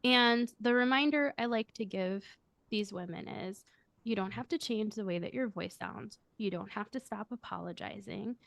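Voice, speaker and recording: A woman with a high, girlish voice answering interview questions, speaking earnestly and reflectively as a coach who leads a firm. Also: normal speed, creaky, fairly bright tone, dry recording. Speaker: guest, coach and firm leader.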